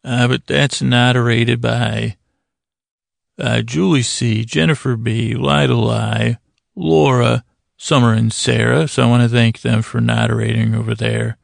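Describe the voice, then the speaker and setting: Very creaky, monotone male podcast host. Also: mid-range voice, no room sound, clean studio sound. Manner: meandering, hesitant, with long pauses and self-interruptions. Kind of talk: rambling bedtime monologue.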